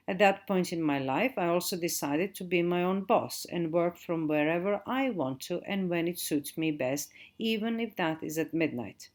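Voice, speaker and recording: A middle-aged woman from Croatia speaks with a Croatian accent in a slightly low voice. She delivers a steady recorded lecture as a digital marketing trainer and slows down to spell out web addresses. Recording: audio-only recording.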